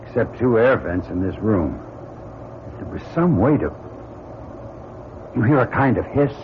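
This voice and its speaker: A man playing a practical, brisk space crew captain, anxious but taking charge, in an old-time radio drama. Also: fairly low pitch, lively intonation. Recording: muffled radio recording, clear background noise.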